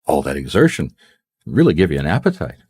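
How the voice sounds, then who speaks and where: An actor playing a shrewd, dogged private detective in a radio mystery, questioning people in a wry, seemingly casual way. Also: male voice, very deep voice, wildly swooping pitch, fairly quick, darkish tone, heavily acted. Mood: accusing, wry. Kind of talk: radio mystery drama acting. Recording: noisy radio drama recording.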